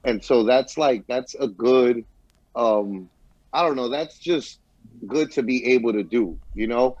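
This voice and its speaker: A man hosting a podcast interview, talking in a relaxed, slangy, casual way. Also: mid-range voice, a little slow, no room sound. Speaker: podcast host. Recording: dull, muffled dry audio.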